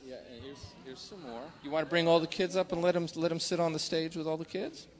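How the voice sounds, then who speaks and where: Higher-voiced man hosting a live show. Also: fairly even intonation, fast. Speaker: show host. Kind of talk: casual live stage hosting. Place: theater stage before an audience. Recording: live stage show with crowd noise.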